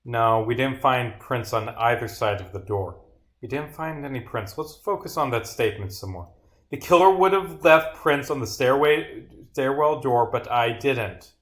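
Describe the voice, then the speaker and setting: A man's voice: medium pitch, moderate pace. A man casually livestreaming his own game, reading dialogue aloud and muttering short asides to himself. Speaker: game developer streaming.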